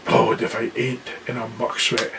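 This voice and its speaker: Rumblebuffin, a man doing a rustic, bumbling giant. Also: monotone, normal speed, noisy background, close to an everyday voice. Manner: rustic, deferential, puffing. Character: huge good-natured male giant, humble and polite.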